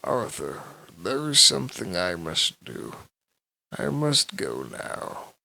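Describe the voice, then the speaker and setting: Male reader as solemn storybook wizard: portentous counsel, drawn-out delivery, heavy fry. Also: deep voice, huge pitch swings, bright, forceful tone, voice pushed down, exaggerated voice, low-quality recording.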